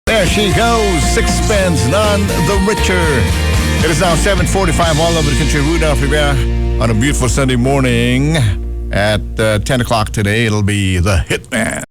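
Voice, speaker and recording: A man's voice: a little high, normal speed. A man hosting a music radio show as DJ, with playful patter and joking asides between songs. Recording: radio aircheck with loud music behind. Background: loud pop music.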